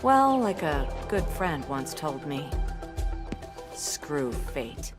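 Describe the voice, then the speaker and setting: A confident superheroine in a game cutscene. Her voice is deep for a woman, firm and wry, over loud music. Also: very animated, very slow, full character voice. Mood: defiant. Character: tough, confident, dry-witted heroine. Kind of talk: cinematic video game hero acting.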